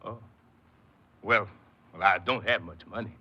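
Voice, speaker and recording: An actor playing a desperate, earnest ex-convict in a 1950s American radio crime drama, pleading and hesitant, often repeating himself. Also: male voice, lowish voice, measured pace, bright, forceful tone, breathy edge, exaggerated voice. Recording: muffled, hissy 1950s radio recording.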